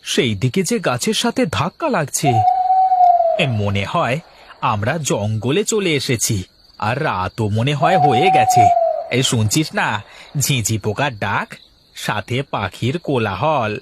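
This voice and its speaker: An actor playing a hapless, aggrieved blind village husband in a cartoon, with exclamatory, theatrical grumbling. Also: male voice, mid-range voice, big pitch swings, measured pace, very noisy. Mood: uneasy. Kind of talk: Bengali comic cartoon dialogue.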